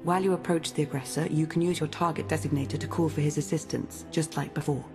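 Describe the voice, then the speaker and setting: Composed female intelligence operative, deep and creaky, formal and businesslike, for a video game. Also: steady tone, quick pace, over music.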